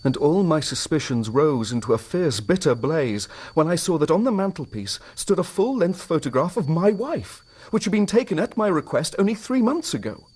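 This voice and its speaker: An actor playing a troubled, proud Victorian husband in a radio drama, with a British accent and an earnest, formal, wounded manner. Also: male voice, on the high side, very animated, normal speed, hardly any pauses, a little mellow, slightly rough. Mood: agitated, suspicious. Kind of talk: radio drama acting, narrating his own story.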